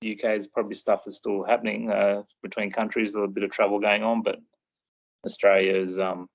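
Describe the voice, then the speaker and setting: Laid-back podcast host guy, gravelly low voice, dull lo-fi sound. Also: flat delivery, brisk. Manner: laid-back, loose and rambling. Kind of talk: casual chat. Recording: dull lo-fi recording.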